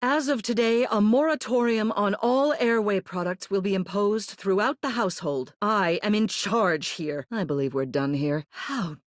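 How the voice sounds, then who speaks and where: A woman's voice: medium pitch, moderate pace, few pauses, no echo, clean studio sound, lightly acted. A woman voicing a video game character, a stern, haughty ceiling who governs a household, speaking in a formal, clipped, authoritative way. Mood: stern, commanding. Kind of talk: scripted game character dialogue.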